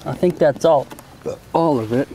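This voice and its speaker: A man with a slightly high voice talks through a hands-on job. He is folksy and plain-spoken, like an amateur showing viewers each step. There is some noise in the homemade recording, made outdoors around a car. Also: unhurried. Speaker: host of a hobby how-to video. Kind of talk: casual hands-on tutorial narration.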